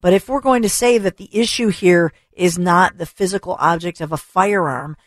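A talk radio host, a woman, delivering an opinionated rant full of rhetorical questions and repetition, her voice going hoarse. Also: on the low side, normal speed, no room sound, studio quality. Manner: emphatic, rhetorical questions, repeats for stress. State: losing her voice. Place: radio studio. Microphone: studio microphone.

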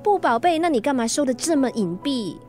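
A woman's voice: high-pitched, sing-song, brisk, a little mellow, faint music behind. A woman playing a caring, perceptive teenage daughter in a radio drama, earnest and coaxing, with a Singaporean accent. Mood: teasing.

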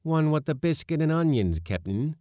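A man acts out a glum schooner's mate from a sea story. He is prim and heavily sarcastic, his pitch leaping about in a dry, close recording. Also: big pitch swings, normal speed, warm tone, no echo. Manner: gloomy, laboured sarcasm. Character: gloomy, sarcastic, prim male ship's mate.

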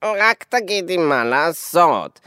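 A man's voice: fairly high pitch, big pitch swings, pushed and bright, dry recording, studio quality. A man voicing a meek, sleepy, easily offended male cat in a whiny, timid, grumbling way. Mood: resigned.

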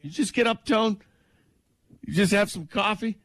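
A man co-hosting a morning radio show, talking sports in an emphatic, excited way and laughing easily. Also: high voice, moderate pace, no room sound, studio quality.

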